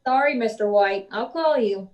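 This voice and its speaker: Woman acting a high-voiced polite switchboard operator. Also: moderate pace, distant mic, noisy background, close to an everyday voice. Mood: brisk, apologetic. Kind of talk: old-time radio drama acting.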